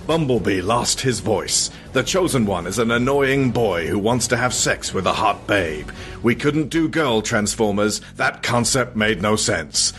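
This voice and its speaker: A man hosting a podcast, reading a comic scripted recap in a mock-solemn, deadpan way with casual asides. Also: medium pitch, slight background noise. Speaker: podcast host.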